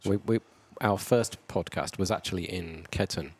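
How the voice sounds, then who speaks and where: Brief: lowish male podcast host, friendly chatter, prone to digressing. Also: moderate pace, a little mellow. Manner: chatty, digressive, laughs along. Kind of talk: casual podcast interviewing. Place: brewery.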